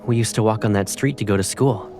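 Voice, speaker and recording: A man playing a confident, tender young pilot in a romantic game drama, speaking softly and intimately. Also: on the low side, quick pace, faint music behind, natural voice. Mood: warm, assured.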